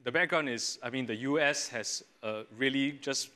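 A young man, a strategist on a studio panel, explaining clearly and fluently with small restarts, on a lapel mic. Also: medium pitch, moderate pace, pushed and bright. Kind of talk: panel discussion answer. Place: studio stage set.

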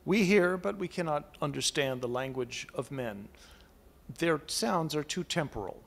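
A man voicing a house that speaks of itself as "we", calm, formal and full of wonder. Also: a little high, a little slow, gravelly, heavily acted. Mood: solemn. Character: an ancient, solemn house speaking as "we".

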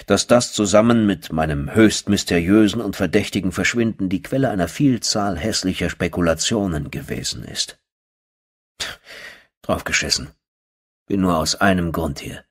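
A man narrating a first-person horror audiobook in a brooding, terse, dry manner with a husky voice. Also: low voice, normal speed.

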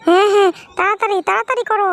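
A woman's voice: very high voice, fairly even intonation, racing, creaky, fairly bright tone, slight background noise, heavily acted. A woman playing a bossy, scolding wife and mother in a fairy-tale cartoon, nagging and exclamatory. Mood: excited.

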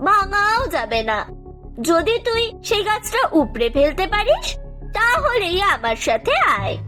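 A woman voicing a caring, knowing parrot guide in a cartoon, speaking in an emphatic, coaxing, drawn-out way. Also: very high-pitched, sing-song, pushed and bright, exaggerated voice. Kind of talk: cartoon voice acting. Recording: music under the voice.

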